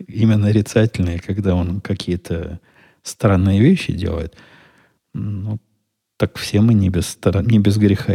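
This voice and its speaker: A middle-aged man hosting a solo podcast, answering listener questions in a dry, wry, unhurried way. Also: deep voice, gravelly, mellow, slightly rough. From Russian-speaking country. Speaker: podcast host.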